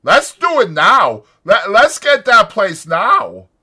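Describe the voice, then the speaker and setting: A man voicing a big, childlike, simple-minded man who speaks with eager, begging excitement in a dramatized audiobook. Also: sits high, slow, husky, pitched above the performer's own voice, heavily acted. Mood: pleading.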